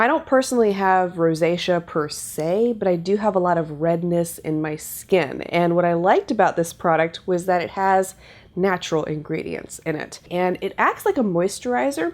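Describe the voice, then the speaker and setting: A woman's voice: fairly low pitch, a little mellow. A young woman giving a chatty makeup tutorial, sounding nasal from a cold. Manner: upbeat, chatty product demonstration. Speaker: beauty vlogger. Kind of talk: casual makeup tutorial talk. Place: home filming set, indoors.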